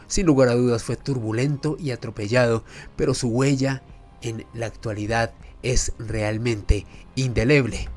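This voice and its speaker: A man hosting a history and mystery show, narrating in a formal, emphatic, rhetorical storytelling manner. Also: mid-range voice. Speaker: host of a history and mystery channel. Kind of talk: semi-scripted documentary narration.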